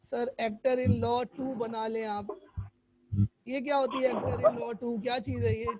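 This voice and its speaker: A hoarse man teaching a chemistry class online, quizzing students and repeating English definitions. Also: very high voice, little pitch movement, a little slow, darkish tone, a little hiss or hum, low-quality recording.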